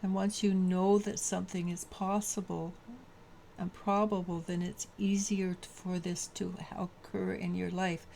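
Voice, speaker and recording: A woman with a low voice giving a daily tarot reading, halting and full of restarts. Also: flat delivery, vocal fry, warm tone, a little hiss or hum. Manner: halting, many restarts and fillers. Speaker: tarot reader.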